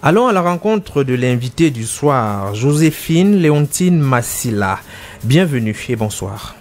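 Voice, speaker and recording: A man hosts a literary interview show. He asks his questions in a measured, formal manner, with the odd hesitation, over faint background music. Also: medium pitch, big pitch swings. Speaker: host.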